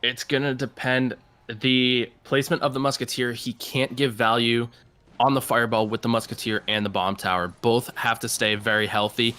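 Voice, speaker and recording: A man's voice: medium pitch, flat delivery, normal speed, pushed and bright. An American man from Massachusetts giving analytical live commentary on an esports match. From Massachusetts, United States. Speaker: esports caster.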